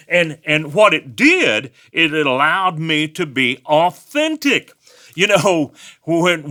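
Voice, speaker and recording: Male pastor, West Texas accent, folksy storyteller, forceful voice pitched a bit high. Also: clean tone. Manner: folksy storytelling with personal anecdotes. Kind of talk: sermon.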